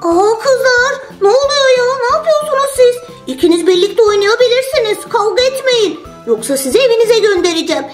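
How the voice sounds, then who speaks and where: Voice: extremely high pitch, expressive, brisk, few pauses, over music. A performer voicing a friendly, placating bear in a children's toy play story, with an exclaiming manner.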